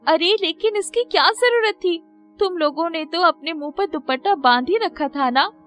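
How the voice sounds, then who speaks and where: A woman's voice: extremely high pitch, expressive, moderate pace, a bit bright, soft background music, pitched above the performer's own voice. A woman voicing a worried, hard-working poor mother in a storybook tale, anxious and full of exclaiming questions. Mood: puzzled, chiding. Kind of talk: storybook character voice.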